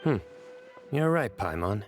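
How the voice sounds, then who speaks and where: A man playing a calm, stern, intimidating law enforcer in a game, speaking in a measured, authoritative way. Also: on the low side, over loud music. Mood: calm, deliberate. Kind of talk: anime-style game voice acting.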